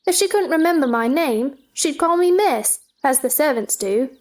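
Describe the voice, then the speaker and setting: A woman's voice: high voice, expressive, normal speed, darkish tone. A woman playing a curious, polite young girl, speaking with earnest wonder in a theatrical storybook dramatization.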